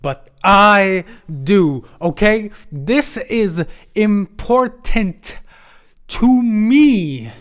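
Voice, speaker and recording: A man's voice: sits high, expressive, drawn-out words, fairly bright tone. A man giving casual, unscripted video game commentary in a slangy, jokey, emphatic way.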